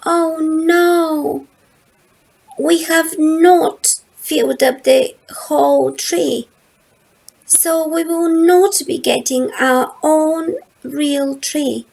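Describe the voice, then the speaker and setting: An eager, bossy little girl with a high, bright voice, put on by a woman reading a storybook aloud. She speaks slowly and emphatically, with a gravelly edge underneath. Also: steady tone, exaggerated voice, rough recording. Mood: excited.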